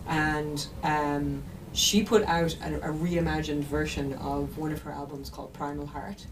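A woman's voice: low voice, flat delivery, a little slow. An Irish woman musician telling stories in an interview with enthusiasm and detail, over a video call. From Ireland. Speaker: musician guest. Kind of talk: interview conversation. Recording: distant mic with background noise.

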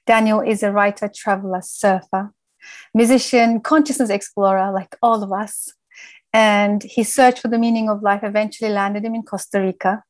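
A woman's voice: mid-range voice, fairly even intonation, moderate pace, no room sound. A woman in her thirties from the UK hosting an interview podcast. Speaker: podcast host.

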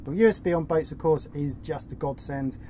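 A man's voice: a little high, a little fast, mellow, a little hiss or hum. Chatty man showing off boat gear. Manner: enthusiastic, chatty. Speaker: sailing vlog co-host. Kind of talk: casual chat presenting gear. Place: cockpit of a sailboat at anchor, outdoors.

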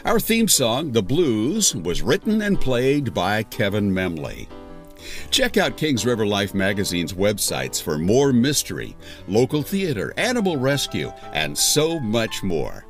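A man's voice: lowish voice, wildly swooping pitch, measured pace. A man reading scripted podcast credits as an announcer. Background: guitar music.

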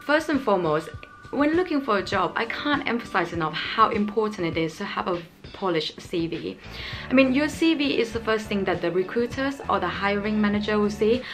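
A woman's voice: mid-range voice, expressive, brisk, soft background music. A young woman from Thailand, hosting an advice vlog in an earnest, explanatory way, speaking to camera at home with small grammar slips. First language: Thai. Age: young adult. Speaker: channel host. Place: indoor home room, small. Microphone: camera framing, head and shoulders.

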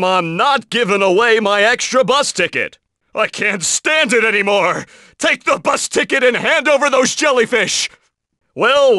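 An actor playing an arrogant, muscle-bound cartoon lobster with cocky, dismissive surfer-dude talk for a video game. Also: male voice, sits high, sharp tone, dry recording, clean studio sound, full character voice. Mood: exasperated, then condescending. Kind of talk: animated video game character voice acting.

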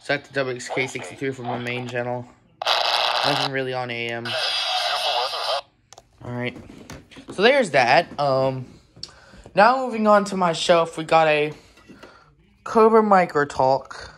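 Halting, informal hobbyist presenter, mid-range voice, off-the-cuff show-and-tell on a noisy handheld home recording. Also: mid-range voice. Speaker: collector presenting weather radios. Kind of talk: casual show-and-tell vlog. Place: indoors in a home room. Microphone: handheld phone camera.

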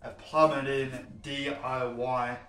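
A man's voice: mid-range voice, flat delivery, takes it slowly, a bit bright. Echoey man presenting casually. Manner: enthusiastic, informal, explanatory. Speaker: home cinema showroom presenter. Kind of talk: casual product unboxing commentary. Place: showroom warehouse, indoors. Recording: echoey, reverberant indoor room.

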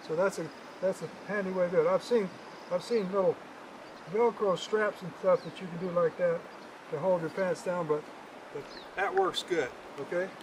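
An older man giving a casual how-to demonstration as a fishing guide, folksy and halting, often repeating words, recorded outdoors from a few metres away. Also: high-pitched, steady tone. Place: outdoors at a roadside in the country, by a car. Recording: clear outdoor background noise.

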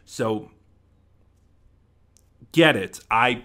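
A man in his twenties with a bright, forceful voice at a middling pitch talks through a review without a script. He halts to think aloud and often corrects himself. He speaks into a desk microphone in a small room at home. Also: drawn-out words. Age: 20s. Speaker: audio drama reviewer. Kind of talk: unscripted review to camera. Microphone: desk microphone close in front.

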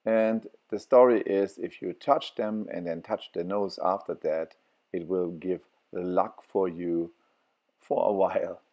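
Slow, deep-voiced man with halting speech. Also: steady tone, dull audio. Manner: halting, many fillers and restarts. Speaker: travel vlogger. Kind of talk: walking tour narration.

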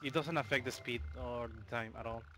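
A man's voice: mid-range voice, fairly even intonation, a little fast, fairly bright tone. A man from Mexico with a Mexican accent, casually explaining a game speedrun as he plays, with loose fillers. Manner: explains while playing, loose and chatty, with fillers. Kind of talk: live commentary on a speedrun.

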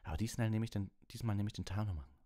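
A young boy hero in a storybook, voiced by a male narrator. He is evasive and hesitant, speaking higher than the narrator does. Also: very fast, dark tone, natural voice. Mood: determined.

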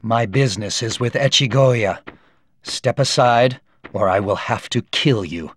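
Grim honorable ninja guy done by a male actor, formal and measured, video game cutscene, mid pitch. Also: no room sound, studio quality, natural voice. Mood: grim, threatening.

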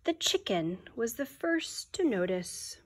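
A woman in her thirties, a teacher giving an expressive, deliberate read-aloud of a children's picture book. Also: fairly high pitch, a little hiss or hum.

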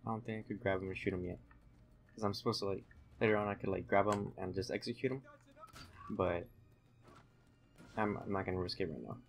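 A man's voice: deep voice, steady tone, fairly quick, creaky, pauses often, faint music behind. A young man giving casual, rambling, hesitant commentary as he hosts a gameplay video. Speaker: gaming video host.

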